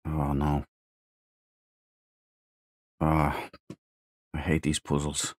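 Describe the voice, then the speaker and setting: A man's voice: a bass voice, completely flat delivery, drawn-out words, no echo. A man doing casual let's play commentary, muttering to himself with laid-back, wry asides. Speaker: gamer, let's play host. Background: faint game music.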